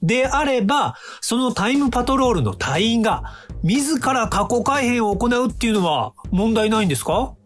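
An actor in a radio drama playing a calm, smug inventor from the future, polite and lecturing in a self-assured way. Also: male voice, extremely high pitch, moderate pace, soft background music. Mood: lecturing, triumphant.

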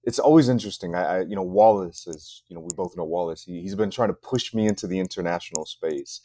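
A middle-aged American man hosting a casual podcast interview, talking in a halting way with repeated words. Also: deep voice, dark tone, no echo. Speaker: podcast host.

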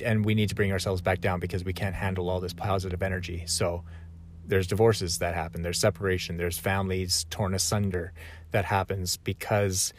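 A man talking reflectively in a conversational, self-correcting way. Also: fairly low pitch. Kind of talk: reflective solo talk.

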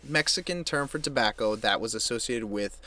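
A man's voice: medium pitch, moderate pace, bright, forceful tone. A young man co-hosting a podcast, chatting casually in a rambling, profane way with frequent restarts. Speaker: podcast co-host. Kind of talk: casual chat.

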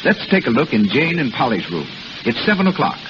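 A man's voice: mid-range voice, sing-song, fairly quick. A man announcing a scripted commercial in the style of 1930s radio. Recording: muffled noisy 1930s radio broadcast.

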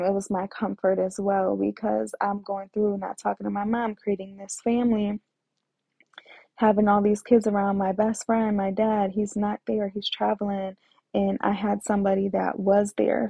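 A woman's voice: mid-range voice, flat delivery, normal speed, vocal fry, mellow, dry recording. A woman telling her own life story in a hesitant way, with many fillers and restarts. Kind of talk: personal storytelling. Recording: tinny phone-like dry sound.

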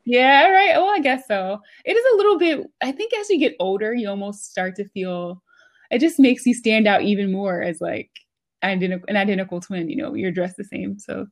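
A woman's voice: medium pitch, moderate pace. An American woman talking as an interview guest in a casual, chatty, informal way, often saying "like" and "you know". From United States, Philadelphia area. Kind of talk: casual conversational storytelling.

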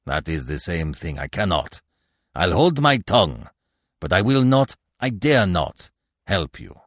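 A man voicing a sullen, resentful and fearful ferryman with a gruff, exclamatory manner in an audiobook story. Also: sits low, sing-song, measured pace, a bit bright, no room sound, clean studio sound, heavily acted. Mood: sullen refusal. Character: sullen, frightened ferryman, angry and resentful, then pleading.

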